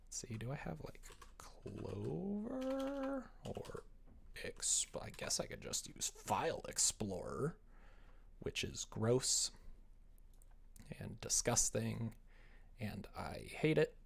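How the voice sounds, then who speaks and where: A man chatting casually on a livestream as he works, rambling and self-deprecating, often muttering to himself, with a gravelly, creaky voice. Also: medium pitch, sing-song, slow pace, long pauses, a little hiss or hum. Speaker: live streamer, game developer. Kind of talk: casual livestream chat while working on screen.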